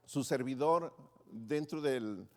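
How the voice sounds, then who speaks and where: A man preaching as pastor, in short phrases with pauses for an interpreter, in a church. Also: mid-range voice, in a large hall. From Latin America. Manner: speaks in short phrases, pausing for translation. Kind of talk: sermon with live interpreter. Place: church sanctuary.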